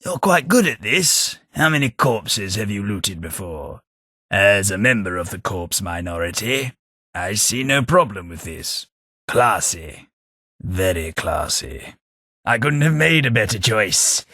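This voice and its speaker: A slow-talking, upper-class Southern English ghoul gentleman, voiced by a man for a video game, all dry wit and refinement. His voice is husky yet bright, sitting low with a lively, swooping pitch. The close studio recording is completely dry. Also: no echo, exaggerated voice. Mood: wry. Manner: dry, wry, refined delivery. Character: posh, witty male ghoul gentleman companion. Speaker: game companion. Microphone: close studio mic.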